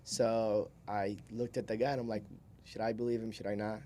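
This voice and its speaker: A young man with a fairly low voice tells stories as a podcast guest. He talks informally and wanders between thoughts. There is faint noise behind him. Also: flat delivery, normal speed, warm tone. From Brazil. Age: 20s. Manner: informal, rambling, chuckles while talking. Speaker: podcast guest, solar salesman. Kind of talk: casual storytelling in an interview.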